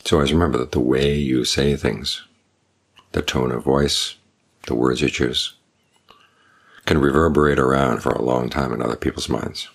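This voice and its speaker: An older man, a Buddhist monk, giving a calm, measured evening Dhamma talk with unhurried pauses. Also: a bass voice, vocal fry, husky. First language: English.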